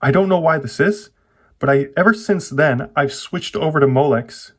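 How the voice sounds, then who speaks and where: A man vlogger talking casually through a hands-on computer build, often pausing and rewording his explanations as he goes. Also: on the high side, husky. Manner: informal, halting, self-correcting explanations. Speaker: tech vlogger.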